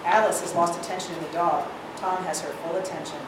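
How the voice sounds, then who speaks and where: A woman's voice: fairly low pitch, steady tone, a little fast. A woman reading scripted stage directions aloud at a live screenplay reading. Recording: echoey hall, distant mic, noisy.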